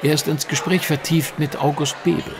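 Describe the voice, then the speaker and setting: An actor playing an astute, self-assured gentleman detective in an audio drama, precise and dryly teasing. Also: on the high side, level tone, normal speed, noisy background, lightly acted. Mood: dry, knowing. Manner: precise, explanatory, dry. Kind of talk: German audio drama dialogue.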